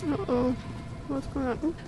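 A woman's voice: high-pitched, normal speed, noisy background. A young woman streaming a game, making short casual exclamations and questions to herself. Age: young adult. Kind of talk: casual gameplay reactions. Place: indoors, small room at a desk. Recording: loud game gunfire behind voice.

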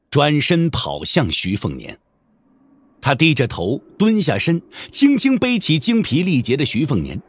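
A man's voice: mid-range voice, dry recording. A man narrating a Mandarin audio drama as a scripted story, theatrical and intense.

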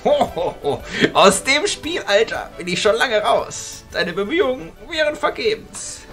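A man playing a grandfatherly old wizard in an audio drama, dry and unruffled, with a drawn-out delivery. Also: extremely high pitch, big pitch swings, fairly bright tone, faint music behind, heavily acted. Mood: amused, dismissive. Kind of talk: amateur audio drama acting.

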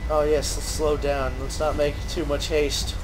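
A man's voice: fairly high pitch, completely flat delivery, unhurried. A man giving mocking, sarcastic game commentary, swearing and ranting as he goes. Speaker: gaming video host. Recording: noisy, game sound behind.

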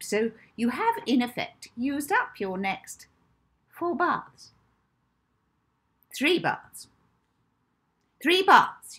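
A woman's voice: medium pitch, fairly bright tone, slightly rough, voice pushed up, full character voice. A woman voicing a spiteful, strict older spinster matron in a children's storybook, scolding and officious.

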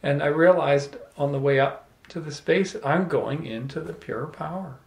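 A middle-aged man telling an animated, playful story to a small audience, holding a handheld microphone. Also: fairly high pitch, moderate pace, creaky. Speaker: salon speaker. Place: room with small live audience. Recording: echoey room.

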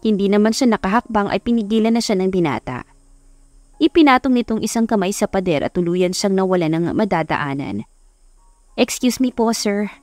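A woman's voice: mid-range voice, lively intonation, a little fast, darkish tone, no echo. Woman narrating romance, dry close sound. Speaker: audiobook narrator. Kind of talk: scripted romance story narration. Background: background music.

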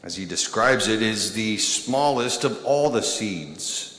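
A man in his thirties gives a sermon at a church pulpit, pausing for emphasis between short phrases. His speech is unhurried and weighty. The sound carries a roomy echo with a long church reverb trailing each line. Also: fairly low pitch, lively intonation, fairly bright tone. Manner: slow, deliberate preaching with emphatic pauses and gestures. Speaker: priest. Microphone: pulpit microphone.

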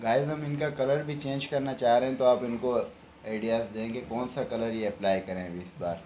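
A man's voice: mid-range voice, fairly even intonation, moderate pace, dark tone. A young barber answers questions plainly and matter-of-factly, listing services and prices. He speaks inside a salon while he works, with a faint hum of the room and the buzz of clippers nearby. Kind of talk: casual interview answers.

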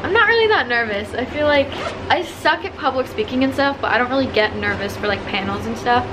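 A woman's voice: a little high, lively intonation, a little fast, noisy background. A young woman in her twenties chatting casually to a handheld camera, bubbly and rambling with lots of fillers. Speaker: vlogger. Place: hotel room and busy convention grounds. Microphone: handheld camera at arm's length.

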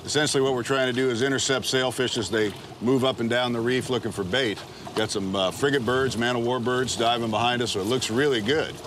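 A man's voice: medium pitch, fairly even intonation, brisk, noisy background. A man hosting a fishing show, chatting casually and explaining things out on an open boat. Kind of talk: casual on-the-water chat and explanation. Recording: outdoor boat audio with music. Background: boat sounds, music.

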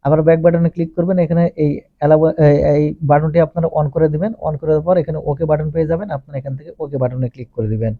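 A man narrates a tech tutorial in a fairly high voice at a very rapid pace. He goes through each step methodically, often repeating his phrasing. The recording is close and dry. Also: steady tone, no room sound, phone-quality sound. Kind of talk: step-by-step instructional voice-over.

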